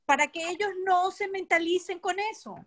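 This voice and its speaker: A woman's voice: very high-pitched, normal speed. A woman participant giving a personal account in casual speech, candid and emphatic. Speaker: seminar participant.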